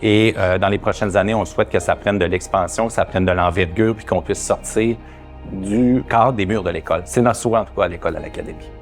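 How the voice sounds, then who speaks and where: A man's voice: deep voice, a little fast, faint music behind. A man gives an interview statement as a member of school staff.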